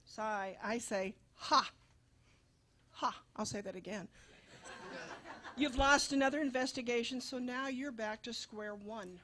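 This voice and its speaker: A woman's voice: a little high, measured pace, long pauses, a bit bright. A woman playing a sarcastic, exasperated landlady in a comic radio play, needling and dry. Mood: mocking. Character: nagging, sarcastic female landlady, exasperated and money-minded.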